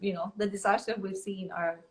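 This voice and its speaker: A woman who grew up in Colombia answers interview questions about her business. She speaks earnestly, halting now and then with fillers as she gathers her words. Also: mid-range voice, steady tone. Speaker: guest, business owner. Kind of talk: casual interview.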